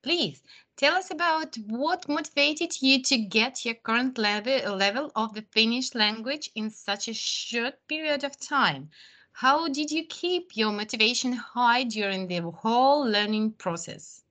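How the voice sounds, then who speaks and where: Woman, podcast host, higher voice, bright and lively, measured scripted reading. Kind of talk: scripted podcast introduction and interview questions.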